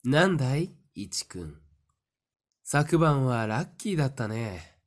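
Woman playing a very low, unhurried, taunting mastermind. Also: big pitch swings, full character voice. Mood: smug, teasing. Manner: unhurried, teasing, theatrical. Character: calm, smiling, taunting mastermind, secretly a werewolf. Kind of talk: audio drama acting.

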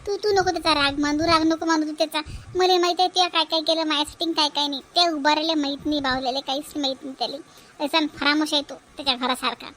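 Defiant devoted daughter and wife, cartoon, shrill high pitch, insistent, Varhadi Marathi accent. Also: female voice, fairly even intonation, fast talker, a bit bright, slight background noise. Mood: coaxing. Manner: insistent, repeats phrases. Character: fiery, defiant young wife devoted to her mother. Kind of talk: cartoon family drama voice acting.

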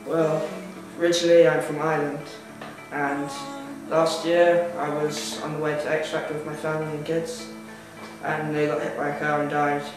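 A man's voice: fairly high pitch, completely flat delivery, moderate pace. A teenage boy playing a hesitant, earnest contestant in a school comedy skit. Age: teens. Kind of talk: comedy skit, sung ballad. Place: school hall. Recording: roomy, from far off, music behind.

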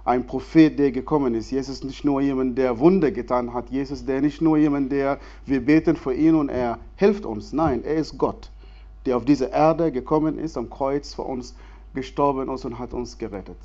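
A man from Sudan, in his forties, talks in German, pausing often and laughing easily. His voice sits in a middle register. The sound is slightly dull, recorded in a church hall. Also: mid-range voice, warm tone. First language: Arabic. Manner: earnest, many hesitations, non-native grammar, laughs easily. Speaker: guest speaker, theologian. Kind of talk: church stage interview.

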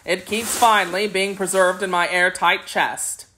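Lady reading as a kindly tin man in a storybook, earnest and polite, medium pitch. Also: steady tone, unhurried, pushed and bright, slight background noise, rough recording. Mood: grateful, earnest. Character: kindly, tender, courteous man made of tin.

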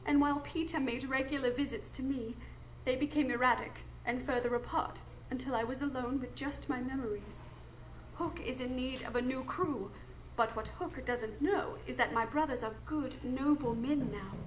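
Actress as Wendy Darling, high-voiced and earnest. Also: female voice, steady tone, moderate pace, slight background noise, close to an everyday voice, rough recording. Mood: impassioned. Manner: impassioned, protesting. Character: Wendy Darling, earnest, burdened, defiant grown woman. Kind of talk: live radio drama acting.

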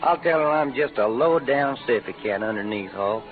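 A man's voice: mid-range voice, expressive, brisk, close to an everyday voice. Drawling roguish cowboy gambler played by a man. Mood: teasing. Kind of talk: 1940s-50s radio western acting. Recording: muffled vintage radio recording with music behind.

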